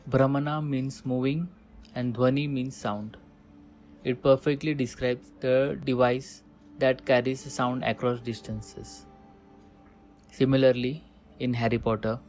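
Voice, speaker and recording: A man in his thirties from India, speaking English with an Indian accent, narrating a scripted video essay in a measured, explanatory way. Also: medium pitch, a little mellow. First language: Hindi. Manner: measured, explanatory, announces sections.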